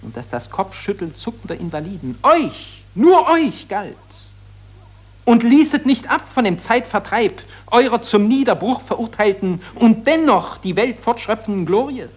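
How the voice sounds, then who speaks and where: An actor playing an impassioned satirist who accuses warmongers and summons the war dead, declaiming solemnly in 1940s German radio drama style. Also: male voice, high voice, sing-song, moderate pace, slightly rough, full character voice. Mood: indignant accusation.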